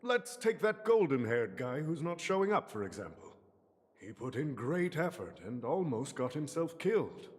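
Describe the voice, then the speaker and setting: An actor playing a wily, jovial old tycoon in a video game, with theatrical and wordy businesslike courtesy. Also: male voice, on the high side, sing-song, moderate pace. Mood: probing, pointed. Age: older. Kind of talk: video game story dialogue acting. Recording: long reverb, music behind.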